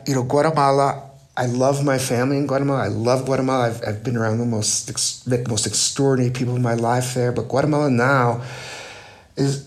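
A man, an author, answering interview questions thoughtfully, with frequent "you know" and "right?" and halting restarts. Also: mid-range voice. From United States. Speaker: author guest.

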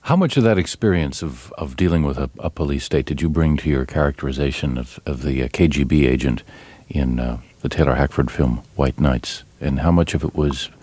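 A man interviewing a guest, asking measured questions with some fillers. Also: a bass voice, darkish tone, slightly rough, no echo.

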